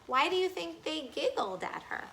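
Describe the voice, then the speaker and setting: A woman reading a picture book aloud to children in an animated way, pausing to ask her young listeners simple questions. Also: high voice, vocal fry, noisy background.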